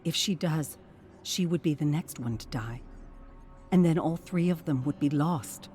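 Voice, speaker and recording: Slow, low-voiced woman narrating solemnly. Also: dark tone. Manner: solemn, deliberate, storytelling. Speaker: story narrator. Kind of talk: dramatic scripted game narration. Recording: music behind the voice.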